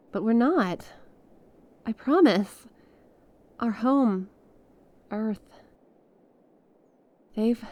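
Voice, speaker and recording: Woman acting a slow-paced, earnest stranded star pilot. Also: mid-range voice, dry recording, lightly acted. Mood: solemn, pleading. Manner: expressive, halting, conversational, with small laughs and breathy exclamations. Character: stranded young female star scout pilot, awestruck and earnest. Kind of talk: ASMR audio roleplay, monologue to a listener.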